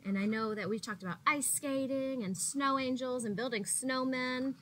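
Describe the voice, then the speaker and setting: A woman in her thirties, a teacher reading a picture book aloud to young children in a deliberate, expressive way with drawn-out words. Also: high-pitched, slight background noise, rough recording. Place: small indoor classroom. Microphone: laptop or webcam at arm's length.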